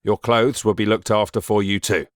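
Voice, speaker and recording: Man playing deep voice, stern middle-aged stepfather, domineering, pompous, deliberate, close dry sound, classic-novel audio drama. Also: steady tone, dry recording, lightly acted. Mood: cold, brisk.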